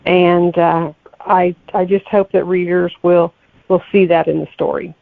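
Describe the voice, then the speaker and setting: A woman's voice: fairly low pitch, level tone, measured pace, vocal fry, no echo. A woman guest, a novelist, chatting casually in an interview and thinking aloud over a narrow, phone-like connection. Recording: tinny, phone-like, narrow-band line.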